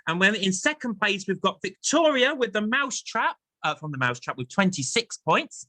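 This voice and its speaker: A man's voice: high-pitched, very animated, normal speed, bright, forceful tone, no room sound. An English man hosting a chatty theatre interview and quiz, effusive and encouraging in manner. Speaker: host of a theatre chat show.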